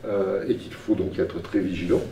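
A man's voice: low voice, steady tone, moderate pace, creaky, dark tone, slight background noise. Older man hosting, distant in a roomy hall. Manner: hesitant, many fillers, standing and gesturing. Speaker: event host. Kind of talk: moderating a public debate. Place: small lecture room.

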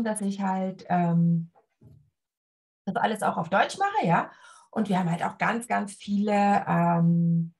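A woman in her forties from Leipzig, a sewing teacher talking casually on a video call, relaxed with many fillers and hand gestures. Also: medium pitch, creaky. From Leipzig, Germany. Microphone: webcam on a video call.

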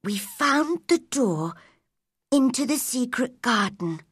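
Woman voicing a high, earnest storybook girl. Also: sing-song, takes it slowly, husky, no room sound, studio quality, exaggerated voice. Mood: confiding. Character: kind, firm, spirited young girl. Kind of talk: storybook character reading.

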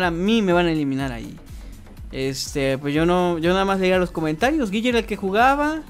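Young mexican dude on a gaming stream, kinda high voice, reads the chat and answers, little game music underneath. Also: male voice. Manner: reads chat comments aloud and answers them. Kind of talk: casual livestream chat while gaming.

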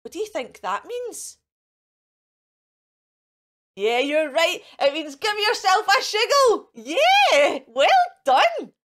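Female kids' host, Scottish accent, high-pitched and unhurried, sing-song fairy presenter who coaxes and encourages. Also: very animated, fairly bright tone. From Scotland. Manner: exaggerated, sing-song, coaxing with questions and encouragement. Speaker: children's storytime host in character as a fairy. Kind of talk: playful children's presenting to camera. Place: indoors in front of a fairy backdrop.

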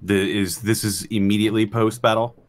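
A man with a somewhat low voice plays a tabletop game. He talks casually, feeling his way haltingly through each move. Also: flat delivery.

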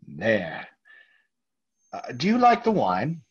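A man playing a nervous, overpolite murderer hiding his guilt, halting and husky, in old-time radio theater style. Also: mid-range voice, huge pitch swings, exaggerated voice. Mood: ingratiating. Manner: halting, over-courteous, stammering. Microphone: video call. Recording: dull, lo-fi video call audio.

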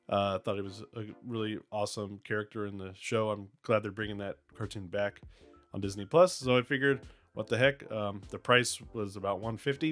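Man with a raspy cold-worn voice. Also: on the low side. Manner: relaxed, many ums and uhs. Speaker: toy reviewer. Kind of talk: casual unboxing review.

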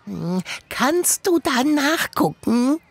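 A woman voicing a small, naive animal in a children's audio drama, with a husky voice. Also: sits high, very animated, slow pace, dry recording, exaggerated voice. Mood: hopeful. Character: small, naive, nut-loving animal, pleading patiently.

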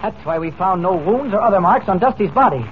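Brisk investigator hero, reasoned and matter-of-fact, quick delivery, narrow vintage radio sound. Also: male voice, sits high, expressive. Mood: probing, serious. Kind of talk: 1940s radio serial acting. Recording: tinny, noisy 1940s radio broadcast.

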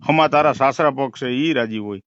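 A man's voice: mid-range voice, brisk, fairly bright tone, dry recording. A man with a rural Saurashtra Gujarati accent in a heated phone argument, insistent and repetitive, talking over the other person on a muffled call recording. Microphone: telephone.

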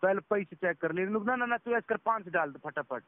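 High-voiced man on a phone line. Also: animated, moderate pace. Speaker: phone caller, a driver recounting being scammed. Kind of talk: casual phone conversation, recounting an incident. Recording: tinny phone call recording.

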